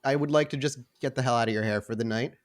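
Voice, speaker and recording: A man's voice: medium pitch, fast talker, lightly acted. A man voicing a nervous, wisecracking small-time vampire thief in a tabletop game, rambling and pleading in slang. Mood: anxious pleading.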